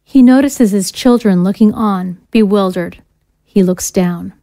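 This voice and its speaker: A woman's voice: medium pitch, measured pace, warm tone, no echo. Dry-recorded woman reading stage directions. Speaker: scene direction narrator. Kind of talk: scripted screenplay narration.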